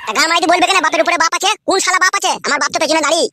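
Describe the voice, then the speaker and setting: A woman's voice: very high voice, rapid-fire, very noisy. A woman voicing a hot-headed, complaining married village son in a comic cartoon. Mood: defiant. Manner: ranting, complaining to his father.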